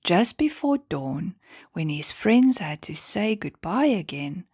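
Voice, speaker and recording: A woman narrating a children's bedtime story in a gentle, expressive manner. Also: on the low side, slow pace, husky, no echo, studio quality.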